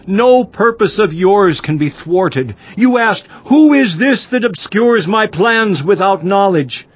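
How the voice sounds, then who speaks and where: A man's voice: high voice, lively intonation, slow pace, heavily acted. An actor playing Job, a humbled and repentant suffering man, fervent and emotional in a dramatized scripture reading. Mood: awed, fervent. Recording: muffled audio with faint music.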